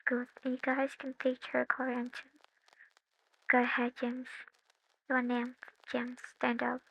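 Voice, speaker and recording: A calm, orderly male teacher, read by a woman in her own high voice. He speaks slowly and evenly, with hardly any rise or fall. The sound is a touch dull. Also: vocal fry, exaggerated voice.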